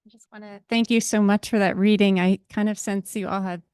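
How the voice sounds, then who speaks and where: A woman's voice: mid-range voice, fairly even intonation, fairly quick, darkish tone, smooth, no echo, clean studio sound. A woman from the audience thanking the panel and asking an earnest, polite question.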